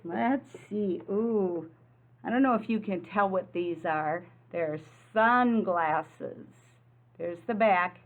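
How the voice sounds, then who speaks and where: A woman's voice: fairly low pitch, takes it slowly, narrow, tinny audio. A woman preschool teacher presenting a lesson and reading aloud to young children, explaining simply and prompting them with questions. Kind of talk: children's lesson and picture-book read-aloud.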